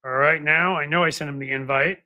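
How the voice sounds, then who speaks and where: A man's voice: on the high side, steady tone, brisk, dry recording, dull audio. A man hosting a casual livestream, talking straight to his viewers, rambling with many restarts and self-corrections while earnestly pressing his points with rhetorical questions. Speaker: livestream show host.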